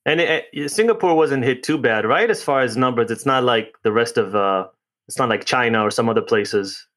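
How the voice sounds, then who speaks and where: A man's voice: medium pitch, normal speed, fairly bright tone. Man hosting a casual podcast. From United States. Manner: friendly, restarts often, conversational. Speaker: podcast host. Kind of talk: casual interview chat.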